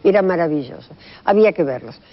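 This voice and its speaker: A woman's voice: fairly low pitch, expressive, fast talker, a crowd in the background. An older woman with an Argentine accent reminiscing in an interview, enthusiastic and repeating phrases for emphasis. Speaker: radio veteran recalling her career. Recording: dull lo-fi sound.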